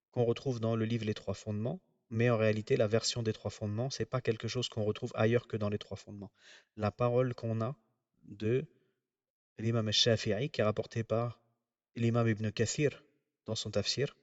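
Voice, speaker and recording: Low-voiced man teaching a measured religious lesson. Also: dry recording, distant mic, dull audio. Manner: measured, explanatory, pauses often. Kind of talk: religious lecture.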